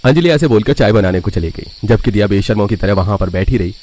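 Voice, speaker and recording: A man's voice: fairly low pitch, lively intonation, fast, darkish tone. A man narrating a scripted cartoon family story.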